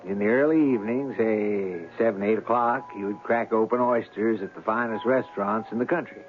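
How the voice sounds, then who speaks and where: A man's voice: lowish voice, fairly quick, narrow, tinny audio, lightly acted. An actor playing a genial, wry writer who narrates his own tale conversationally in an old-time radio drama. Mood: wry, reminiscing. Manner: conversational, reflective storyteller. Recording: band-limited old radio broadcast, faint music.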